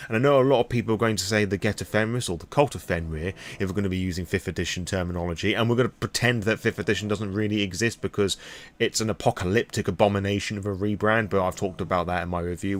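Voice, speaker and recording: A British man giving an impromptu, opinionated vlog monologue in a rambling, frank manner. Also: on the low side. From Britain. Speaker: vlogger on tabletop roleplaying lore.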